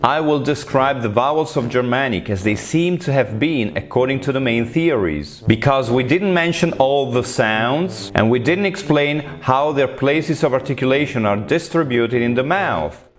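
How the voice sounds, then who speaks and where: A man with an Italian accent, a native Italian speaker, giving a scripted linguistics lesson with clear explanations and playful asides. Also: mid-range voice, animated, normal speed, few pauses. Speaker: linguistics teacher. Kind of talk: scripted educational narration.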